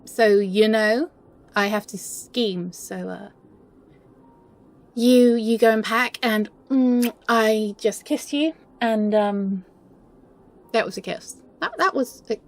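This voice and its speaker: A flustered young-at-heart romantic heroine, acted by a woman in an intimate audio roleplay. Her voice sits fairly high and moves very slowly, with stammers and halting restarts. She sounds smitten and playfully scheming. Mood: giddy, tender.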